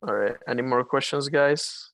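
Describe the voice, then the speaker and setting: A man's voice: medium pitch, normal speed, creaky, a bit bright, no echo. A man with a Malaysian accent, answering viewers' questions about trading in a casual, chatty way full of fillers and restarts. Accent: Malaysian English. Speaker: trading mentor. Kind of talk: live-streamed trading Q&A.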